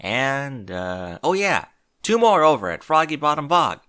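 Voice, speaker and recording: A man's voice: mid-range voice, big pitch swings, measured pace, bright, forceful tone, clear, resonant tone, dry recording, studio quality, voice pushed up. A man voicing a brash young female pegasus pony with a casual, flustered manner, in a story reading. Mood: nervous.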